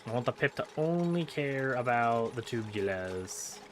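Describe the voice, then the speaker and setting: A man's voice: medium pitch, lively intonation, a little slow, slight background noise. A man giving casual gameplay commentary, playfully thinking aloud and breaking into exclamations. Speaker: gaming video host.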